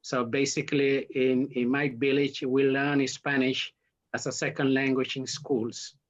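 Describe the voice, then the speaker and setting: Male historian being interviewed, native Garifuna speaker with Honduran accent, slow and searching delivery, slightly muffled audio. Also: mid-range voice, level tone. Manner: halting, searching for words in non-native English. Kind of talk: interview explanation. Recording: dull lo-fi sound.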